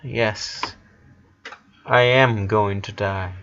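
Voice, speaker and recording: Man voicing a calm, gentle boy, dull recording. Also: fairly low pitch, extremely slow, exaggerated voice. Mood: gentle, sad. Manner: serene, soft, soothing. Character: calm, gentle eleven-year-old boy, hiding grief.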